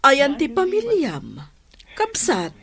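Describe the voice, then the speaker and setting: A woman's voice: high-pitched, huge pitch swings, slow, fairly bright tone, exaggerated voice. A woman with an Ilocano accent playing a generous, caring well-off madam in a radio drama. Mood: concerned, caring. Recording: busy crowd sound behind the voice. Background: crowd sounds.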